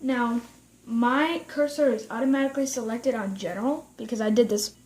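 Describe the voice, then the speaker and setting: A high-voiced woman presents a software tutorial, explaining things step by step. The delivery is unscripted and halting, with phrases restarted along the way.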